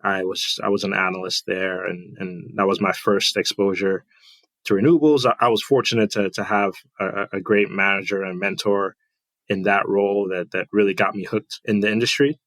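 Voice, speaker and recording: A man from Trinidad and Tobago answering as a podcast guest, measured and thoughtful. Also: low voice, steady tone, a bit bright, dry recording. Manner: measured, thoughtful, with fillers and restarts. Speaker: guest, finance director. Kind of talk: interview answers.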